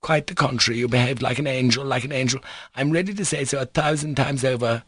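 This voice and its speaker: A man's voice: medium pitch, fast, husky, no echo, studio quality, voice pushed up, lightly acted. A man voicing a gushing, flighty middle-aged society mother who exclaims and repeats herself in an audiobook story. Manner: effusive, repetitive, exclaiming.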